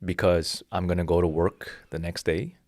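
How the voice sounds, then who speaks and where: A man's voice: low-pitched, little pitch movement, moderate pace, a little mellow, dry recording, clean studio sound. A man in his thirties, a jiu-jitsu instructor, explaining his philosophy earnestly in a casual interview with frequent restarts. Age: 30s.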